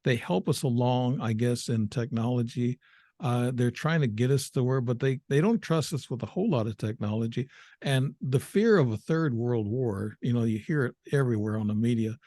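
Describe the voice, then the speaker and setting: A man's voice: medium pitch, animated, moderate pace, mellow, no room sound. A man in his seventies hosting an interview, talking hesitantly and agreeably with frequent restarts. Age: 70s. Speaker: host. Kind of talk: conversational interview.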